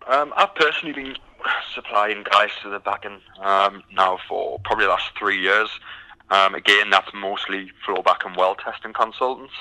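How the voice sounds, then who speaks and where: A man's voice: fairly low pitch, normal speed, sharp tone, poor audio. A British man from the UK, a recruitment firm founder answering interview questions with many ums and restarts.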